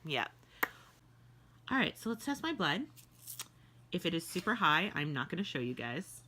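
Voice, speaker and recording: A woman's voice: on the low side, very animated, moderate pace, sharp tone, a little hiss or hum. A congested, sniffly young woman chatting casually and laughing often as she co-hosts a pregnancy vlog. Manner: chatty, laughs often, talks sweetly to pets. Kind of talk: casual chat.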